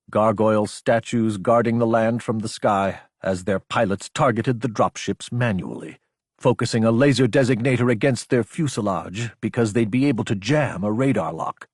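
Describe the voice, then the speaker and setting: A man narrates an action audiobook with a husky edge to his mid-range voice. His delivery is scripted, deliberate and dramatic. The sound is dry, with no room around it. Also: medium pitch, dry recording.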